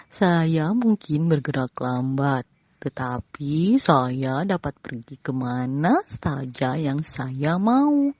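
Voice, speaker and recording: Woman as a slow, low-voiced, steadfast tortoise. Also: very animated, darkish tone, breathy edge, no echo, dull audio, full character voice. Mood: cool, unbothered. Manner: slow, measured, deliberate. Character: calm, slow, cold-eyed, steadfast tortoise.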